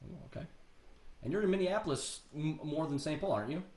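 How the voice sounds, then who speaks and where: A man's voice: on the high side, quick pace, a little mellow. A man with an American accent hosting a casual interview, asking questions in an upbeat, agreeable way. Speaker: interviewer, show host. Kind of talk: casual rapid-fire interview. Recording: echoey, roomy sound.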